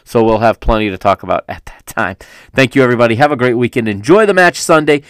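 A man's voice: mid-range voice, lively intonation, fast talker, clear, resonant tone, dry recording, studio quality. A man hosting a solo football podcast, rambling through a casual recap, often correcting himself as he goes, with a fan's enthusiasm. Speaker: podcast host. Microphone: studio microphone.

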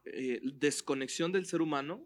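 A man with a Mexican accent, co-hosting a casual book chat, explaining his points in a measured way. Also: a little high. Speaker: podcast co-host.

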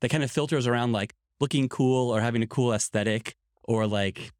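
A man's voice: mid-range voice, brisk, a little mellow, no room sound, studio quality. A man who pastors a church in Ireland, talking earnestly in a podcast conversation and often saying "like". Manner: earnest, emphatic, peppered with "like".

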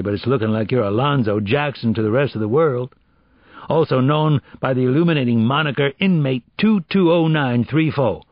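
A man's voice: mid-range voice, no room sound, muffled recording, pitched above the performer's own voice. A man voicing a brash, sarcastic FBI agent who questions a suspect in flowery, taunting street-wise talk in an audiobook. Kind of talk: audiobook character dialogue.

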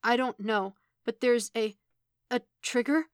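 A woman's voice: fairly high pitch, fairly even intonation, no echo, clean studio sound, pitched above the performer's own voice. Woman as a choked, pleading young man. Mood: pleading, earnest. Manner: halting, choked, pleading delivery.

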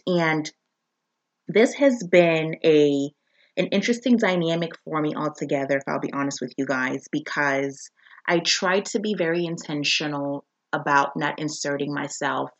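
A woman's voice: deep voice, vocal fry. A woman from Zambia hosting her own podcast, talking in a reflective, earnest way with frequent restarts. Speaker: podcast host. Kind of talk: casual solo podcast introduction.

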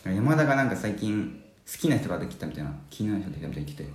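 Man acting chummy student, quick, echoey. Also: on the low side, sing-song, pitched below the performer's own voice. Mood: chatty. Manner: casual, chummy, hesitant. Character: young male university student making awkward small talk. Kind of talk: radio drama skit. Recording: roomy echo.

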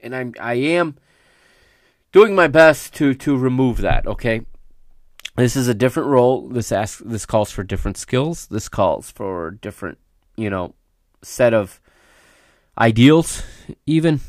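A male podcast host gives unscripted sports commentary in a mid-pitched voice that is a little raspy from a recent illness. He talks emphatically but haltingly, often pausing to check in with the listener. The sound is dry, with no room echo. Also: expressive. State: slightly raspy voice recovering from illness.

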